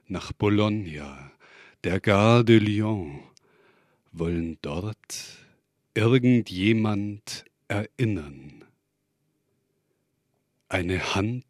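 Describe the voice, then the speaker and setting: A man reading poetry in measured, separated short phrases. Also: fairly low pitch, a little mellow, no echo, studio quality. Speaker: poet reading own work.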